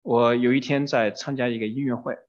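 A man's voice: mid-range voice, steady tone, brisk. A man interpreting a sermon phrase by phrase, keeping pace with the preacher and using some fillers. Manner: renders each phrase promptly, with some fillers. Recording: dull muffled recording.

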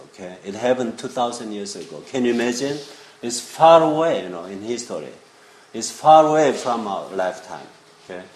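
An older man preaching informally in halting, English, often checking in with his listeners. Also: fairly low pitch, a little mellow. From South Korea. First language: Korean. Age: 50s or older. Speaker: visiting preacher and seminary teacher. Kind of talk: informal sermon to young people. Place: indoor church room. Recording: echoey room with faint noise.

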